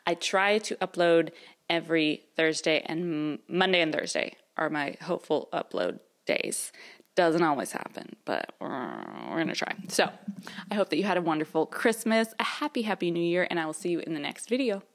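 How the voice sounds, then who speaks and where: A woman's voice: on the low side, expressive, normal speed. A woman, chatting casually to camera in a rambling way with fillers and small laughs. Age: 30s. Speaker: vlogger. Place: her mother's house, indoors.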